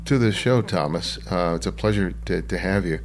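A man's voice: low voice, normal speed. A man hosting a photography interview podcast, chatty, with restarts when he asks questions. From United States. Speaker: podcast host. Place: home studio, Southern California.

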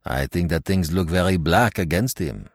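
A man voicing a shrewd, courteous Belgian detective in an audiobook, precise and calmly confident. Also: sits low, measured pace, no room sound, clean studio sound, full character voice. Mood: grave, quiet.

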